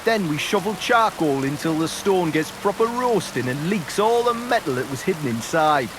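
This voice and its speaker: An actor playing a plain-spoken medieval smelter laborer with rough dialect talk, in video game dialogue. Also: male voice, fairly high pitch, lively intonation, moderate pace, background noise, close to an everyday voice. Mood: explaining. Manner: dialect-heavy working-man talk, evasive. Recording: game audio with loud rain.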